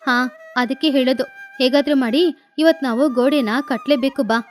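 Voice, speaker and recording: A woman voicing a caring, hardworking mother sparrow who speaks calmly and gives instructions. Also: high-pitched, normal speed, natural voice. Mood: calm motherly.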